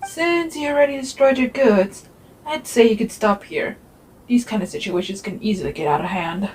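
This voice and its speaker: A woman voicing an easygoing, sociable young man of the desert in a fantasy game fan dub, relaxed and conversational. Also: mid-range voice, normal speed, slight background noise, lightly acted.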